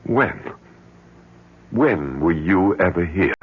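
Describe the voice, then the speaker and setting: Gravelly, deep-voiced worried father. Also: expressive, slow pace, exaggerated voice. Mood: anxious, probing. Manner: earnest, questioning. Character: worried, probing father of a grown daughter. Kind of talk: old-time radio drama acting. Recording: muffled old radio recording.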